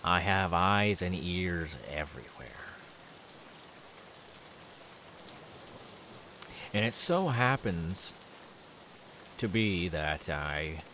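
A man's voice: low voice, a bit bright, a little hiss or hum, exaggerated voice. A man voicing a menacing, taunting killer simmering with anger in an ASMR audio roleplay, drawing out repeated words. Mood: quietly menacing.

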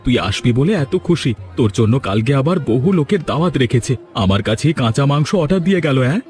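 A man's voice: medium pitch, animated, quick pace, darkish tone, close to an everyday voice. A man voicing a humble, deferential village butcher uncle in a cartoon fairy tale. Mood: friendly, rueful. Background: music.

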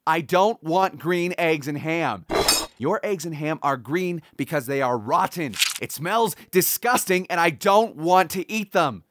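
A man's voice: a little high, unhurried, noisy background, close to an everyday voice. A man playing a tormented, exasperated figure haunted by a dead partner, indignant and melodramatic. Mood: exasperated, anguished. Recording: loud music over the voice.

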